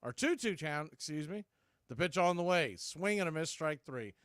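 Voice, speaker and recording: A man's voice: on the high side, lively intonation, normal speed, fairly bright tone, dry recording, clean studio sound. A man doing live radio baseball commentary in clipped, conversational phrases, hosting the play-by-play.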